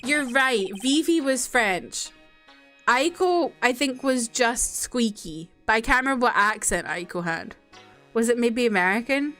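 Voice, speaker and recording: Chatty woman gamer, high and very animated, faint music underneath. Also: unhurried. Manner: chatty, laughs at herself. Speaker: streamer. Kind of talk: casual gameplay chat.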